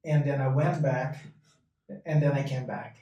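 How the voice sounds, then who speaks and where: A man in his late thirties from Brazil, speaking English, answers slowly and haltingly, often stopping to find the right word. His voice sits at an ordinary middle pitch. He is heard from well away from the mic in a very echoey space. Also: fairly even intonation, mellow, far from the mic, highs cut off. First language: Portuguese. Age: late 30s. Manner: hesitant, halting, searches for words. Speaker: interview guest.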